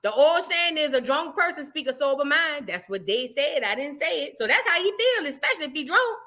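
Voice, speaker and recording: A woman's voice: high-pitched, big pitch swings, brisk, pushed and bright. A woman giving blunt, questioning commentary as she reacts to a clip.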